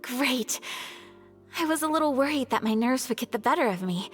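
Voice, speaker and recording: An innocent young lady with a high, sweet, gentle voice. Her intonation is earnest and lively, with a long reverb around it, like an anime game cutscene. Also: moderate pace, soft background music. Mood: relieved, polite. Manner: soft, sweet, polite, earnest.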